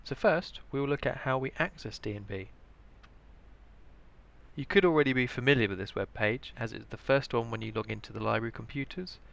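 A man's voice: mid-range voice, a little fast, vocal fry, fairly bright tone. A British man giving scripted instructional narration as the presenter of a training tutorial. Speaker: information librarian, tutorial presenter.

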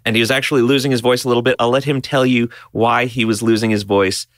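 A man's voice: medium pitch, flat delivery, fast, no room sound, studio quality. A man hosting a music podcast backstage, upbeat and chatty, laughing often and asking long rambling questions. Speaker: podcast host. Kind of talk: casual backstage interview and recap. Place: backstage interview booth.

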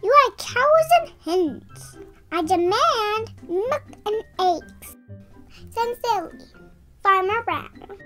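Child reader, very high and hugely animated, sing-song storybook delivery with music behind. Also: slow. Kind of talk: children's storybook read-aloud.

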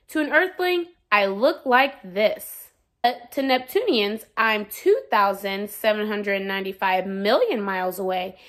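A woman's voice: a little high, a bit bright. A woman voicing a boastful, playful, self-important Sun from a children's picture book. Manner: bragging, showy. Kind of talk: children's storybook read-aloud.

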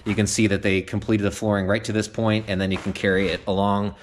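A man's voice: on the low side, flat delivery, fast talker, a little hiss or hum. A man giving a casual walkthrough of an unfinished house, speaking conversationally with many fillers and frequent "okay?" check-ins. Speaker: home sales guide. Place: unfinished house interior, open framing.

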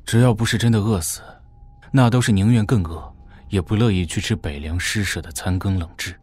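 A low-voiced, fawning warlord. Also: male voice, fairly quick, a little mellow, slightly rough, no room sound. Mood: servile, candid. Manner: deferential, colloquial, explanatory. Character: obsequious, shrewd male warlord reporting to his overlord. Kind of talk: audio drama acting.

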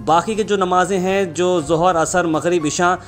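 Newsman, higher-pitched, over music. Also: fairly even intonation, normal speed. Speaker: news presenter. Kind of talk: scripted news narration with sponsor reads. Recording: music playing behind the voice.

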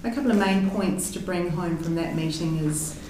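A measured councilwoman reads out her meetings in a fairly low voice. She is heard from a distance in a very echoey chamber, with a faint hum under her words. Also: warm tone. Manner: measured, formal. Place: large council meeting room. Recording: echoey meeting room from far off.

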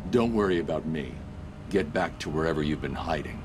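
An actor playing a grim, stoic vigilante hero in a video game, terse and deadpan. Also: male voice, rumbling low, brisk. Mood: stern, reassuring. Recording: clear background ambient noise.

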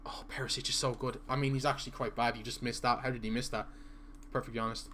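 A man's voice: mid-range voice, little pitch movement, fast talker, sharp tone, a little hiss or hum. A young man with an Irish accent, chatting casually to his live-stream viewers while playing, reading out their messages and stuttering at times. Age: young adult. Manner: chatty, reads chat aloud, stutters at times. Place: small room indoors.

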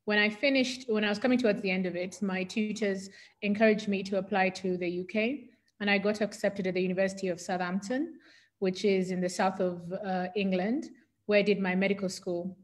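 A middle-aged woman with a Kenyan accent, speaking as an interview guest and explaining things in an articulate, conversational way. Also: mid-range voice. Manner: articulate, explanatory, defines medical terms.

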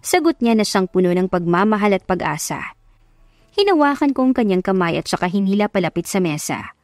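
A woman's voice: medium pitch, sing-song, a little fast, darkish tone, no echo, studio quality. A woman reading a scripted first-person love story as an audiobook narrator.

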